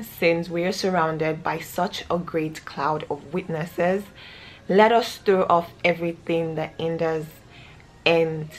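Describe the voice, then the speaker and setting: A young Nigerian woman with a Nigerian accent speaks earnestly into a close camera in a small bedroom. Her voice sits a little low, and she rambles with fillers and self-corrections. Faint room noise hums behind her. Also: rough recording. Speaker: vlogger. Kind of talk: casual devotional vlog, praying and reading aloud. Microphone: camera close up at face level.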